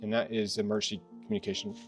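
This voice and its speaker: A man's voice: on the low side, flat delivery, racing, soft background music. Middle-aged man reviewing gear casually. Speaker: host of a product review channel. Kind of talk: casual product review chat. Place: indoors in a workshop.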